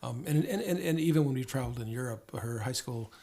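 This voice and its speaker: A man's voice: mid-range voice, animated, quick pace, gravelly, dark tone. An older man and former diplomat answering interview questions at length, hesitant, often restarting and repeating words.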